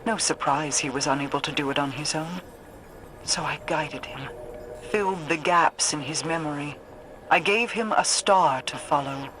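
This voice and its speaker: A man's voice: a little high, moderate pace, over music, lightly acted, rough recording. A man playing an imperious ancient ruler. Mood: haughty. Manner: lofty, imperious and disdainful. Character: regal ancient male Awoken ruler, proud, cold.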